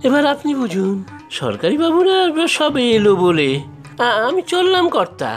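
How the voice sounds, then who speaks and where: A wildly animated village henchman. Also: extremely high pitch, normal speed, faint music behind, exaggerated voice. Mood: sly, pointed. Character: moneylender's bold village henchman, secretly righteous. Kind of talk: Bengali cartoon voice acting.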